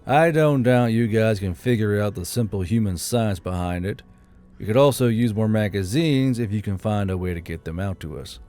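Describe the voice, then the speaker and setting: A man reading the part of a reserved, practical male soldier in a measured, understated manner for an audiobook. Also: lowish voice, darkish tone, faint music behind, lightly acted. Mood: matter-of-fact.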